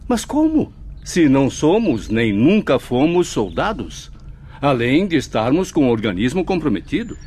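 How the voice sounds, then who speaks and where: A man's voice: medium pitch, very animated, moderate pace, darkish tone, breathy edge. A man acting as an anxious, refined professor among spirit patients in a radio drama, formal and questioning. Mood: alarmed.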